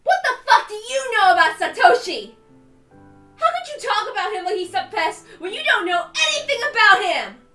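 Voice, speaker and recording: Woman playing defiant teen girl yelling her heart out, high strained voice, echoey room w music. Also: sing-song, normal speed, full character voice. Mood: tearful rage. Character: furious, defiant lovestruck teenage girl, screaming back at her elders. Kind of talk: dramatic visual novel reading.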